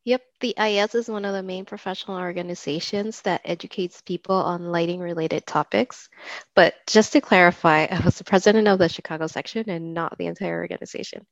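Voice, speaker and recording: Woman from Peru with a gravelly voice. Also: lowish voice, no room sound, dull audio. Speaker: lighting designer guest. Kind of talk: podcast interview.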